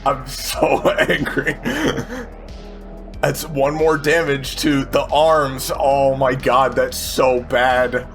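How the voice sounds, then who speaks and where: A man's voice: mid-range voice, flat delivery, slow pace, fairly bright tone, faint music behind. A man chatting informally as a player in a tabletop game, swearing and repeating himself as he reasons aloud. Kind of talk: casual game-table chat.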